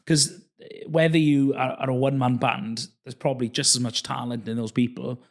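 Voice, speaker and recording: A man's voice: mid-range voice, level tone, normal speed. A man hosting a podcast interview, talking in a friendly, easygoing way with plenty of "uh" fillers. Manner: friendly, hesitant with frequent uh fillers. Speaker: podcast host. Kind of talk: casual interview chat.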